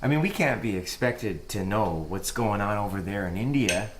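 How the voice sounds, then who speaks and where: An actor playing a self-satisfied, eager-to-please boss with a Midwestern American accent and a glib, folksy manner in an audio drama. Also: male voice, on the low side, expressive, moderate pace, background noise, natural voice.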